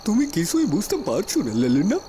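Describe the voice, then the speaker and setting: A man's voice: sits high, wildly swooping pitch, fairly quick, mellow, slight background noise. A man playing a scheming, anxious royal minister in a fairy-tale cartoon, with a dramatic, emphatic delivery. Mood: anxious, conspiring.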